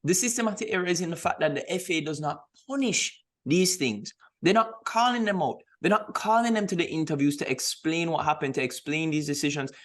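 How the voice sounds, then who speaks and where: A man's voice: fairly high pitch, a little fast, few pauses, fairly bright tone. A man co-hosting a sports podcast, giving emphatic opinions in a casual discussion and repeating points to stress them. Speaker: podcast co-host.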